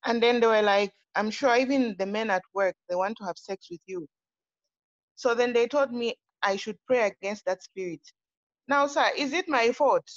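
A woman's voice: fairly high pitch, moderate pace. A woman from Zambia asking a question conversationally, hesitant and respectful, on a video call. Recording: muffled video call audio.

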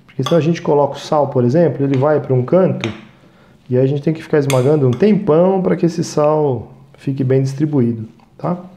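A man in his thirties with a Brazilian Portuguese accent, hosting a cooking show and chatting to viewers as he works, often checking in with a quick "right?". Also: mid-range voice, mellow, echoey room, slight background noise. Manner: chatty, talks to viewers as "gente", frequent "tá?" checks. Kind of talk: cooking demonstration. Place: small studio kitchen set.

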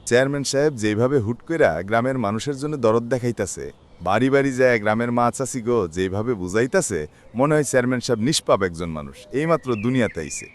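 A man's voice: medium pitch, animated, a little fast, lightly acted. A man with a rural Bangladeshi accent voicing a sceptical, outspoken villager in a cartoon, mocking and emphatic. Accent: rural Bangladeshi Bengali. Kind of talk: cartoon voice acting.

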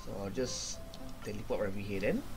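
A young man hosting a game playthrough, reading dialogue aloud haltingly and commenting casually. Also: on the low side, over music. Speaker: gaming video host.

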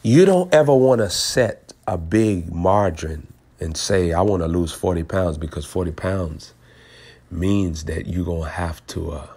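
A male preacher with a very low, husky voice delivers prophetic teaching. He speaks deliberately in short maxims. Key phrases come back a second time for emphasis. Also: dark tone. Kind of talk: prophetic teaching over a phone call.

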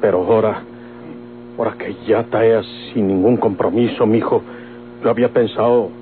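Low-voiced jealous Mexican father, acted by a man. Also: fairly even intonation, brisk, natural voice. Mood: wounded, reproachful. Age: older. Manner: pleading and commanding, rustic speech. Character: jealous, possessive aging father in prison, rural outlaw. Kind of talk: old-time Mexican radio drama acting. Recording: old tinny radio recording with hum.

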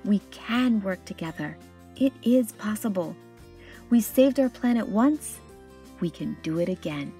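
A woman storyteller voicing an earnest, hopeful male scientist in a picture book, speaking in a measured, emphatic way. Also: medium pitch, animated, dark tone, faint music behind, lightly acted. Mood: hopeful, determined.

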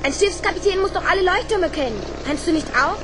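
A woman's voice: extremely high pitch, quick pace, background noise. A woman playing an eager, inquisitive young schoolboy inventor in a children's radio play. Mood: eager, persuasive.

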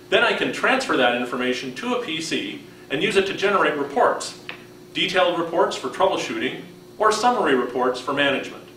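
A middle-aged American man presents a scripted demonstration in a roomy-sounding space. His voice sits slightly high and moves with plenty of melody. He explains each step in a friendly, instructional manner. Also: bright, forceful tone, slight background noise. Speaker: product presenter.

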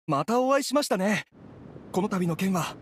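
A man's voice: high-pitched, expressive, normal speed, slight background noise. A man voicing a polite, earnest young officer in an anime game, reporting in a formal, orderly way. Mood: grateful. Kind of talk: Japanese anime game voice acting.

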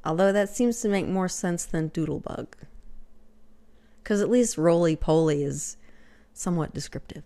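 A woman's voice: on the low side, normal speed, pauses often, mellow. A middle-aged woman with a light Texas accent talking casually into a webcam at home, chatty and self-deprecating, with lots of fillers. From Texas, USA. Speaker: vlogger. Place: small home room with shelves, indoors. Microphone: webcam at desk.

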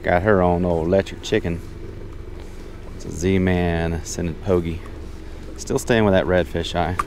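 A man's voice: deep voice, fairly even intonation, a little slow. An American man giving chatty, folksy first-person fishing commentary to his viewers, recorded outdoors on a boat. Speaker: fishing vlogger. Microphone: action camera worn on body. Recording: outdoor noise on open boat.

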